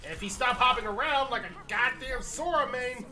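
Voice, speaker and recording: A man with a high voice chats casually while gaming. His tone is bright and bold, and he keeps up a wry running commentary and grumbles about opponents and balance. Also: normal speed. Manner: wry, complaining commentary on the game. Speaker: co-op gaming partner.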